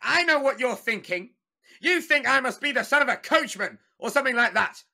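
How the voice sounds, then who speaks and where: Pompous, excitable mock tsar. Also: male voice, very high-pitched, brisk, creaky, heavily acted. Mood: indignant, bossy. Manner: imperious, excitable. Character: pompous, blustering man posing as a tsar. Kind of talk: dubbed film comedy acting.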